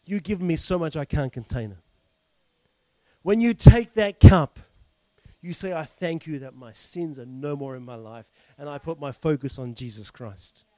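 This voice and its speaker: A man's voice: a little high, moderate pace, warm tone, dry recording, rough recording. A male pastor leading communion, preaching in a fervent, declarative way with lots of repetition.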